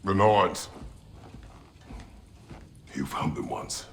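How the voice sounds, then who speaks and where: A man playing a stern, weary warrior father in a game, gravelly, terse and formal in his phrasing. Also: extremely slow, a little hiss or hum. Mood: grim, resolved. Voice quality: deep, gravelly. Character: stern, weary, battle-hardened warrior father, few words. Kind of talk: dramatic video game cutscene acting.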